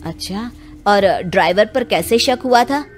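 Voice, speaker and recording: A woman's voice: mid-range voice, lively intonation, measured pace, soft background music, lightly acted. Woman as an earnest, respectful police inspector. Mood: probing, respectful. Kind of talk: Hindi animated moral story dialogue.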